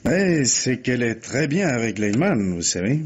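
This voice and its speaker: Husky, animated, urbane radio-drama husband. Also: male voice, mid-range voice, moderate pace. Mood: conciliatory. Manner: courteous, bantering, frequent soft interjections. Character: urbane, conciliatory husband, a film man. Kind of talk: 1950s French radio drama acting. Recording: dull, muffled old radio recording.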